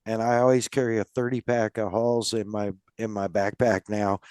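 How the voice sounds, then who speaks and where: A man's voice: fairly low pitch, level tone, moderate pace, a little mellow, clear, resonant tone, dry recording, studio quality. A man hosting a podcast, chatting casually with a guest and talking in a rambling way, with lots of fillers and restarts. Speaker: podcast host. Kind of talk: casual interview chat.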